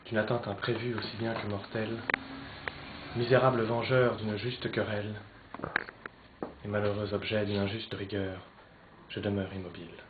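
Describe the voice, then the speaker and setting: A man playing an anguished young Spanish nobleman torn between love and honour, declaiming classical French verse theatrically, swinging between hushed and loud. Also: fairly low pitch, little pitch movement, moderate pace, pauses often, natural voice. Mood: stricken. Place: poetry club, live recitation. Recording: roomy, slightly dull live recording, noisy.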